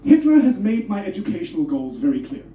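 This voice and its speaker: High, animated man doing stand-up. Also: quick pace, echoey room, recorded from a distance, dull audio. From Germany. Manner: energetic, swearing, self-mocking. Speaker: stand-up comedian. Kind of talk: stand-up comedy set. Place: comedy club stage.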